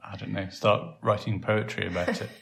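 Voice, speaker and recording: A Welsh man with a very low voice hosts a casual podcast. He rambles along easily, full of ums and little restarts. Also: normal speed, vocal fry. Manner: relaxed and rambling, with many fillers. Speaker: podcast host and site developer.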